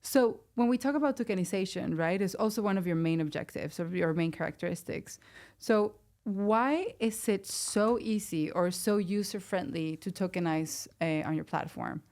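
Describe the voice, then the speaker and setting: Lowish-voiced woman interviewing casually. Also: normal speed, darkish tone. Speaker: podcast interviewer.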